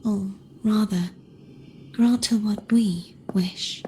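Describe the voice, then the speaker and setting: A woman playing a gentle, resigned, self-sacrificing young woman in a video game, speaking softly and breathily with solemn, wistful deliberation. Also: mid-range voice, fairly even intonation, drawn-out words, slight background noise. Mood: sorrowful, at peace. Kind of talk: dramatic video game voice acting.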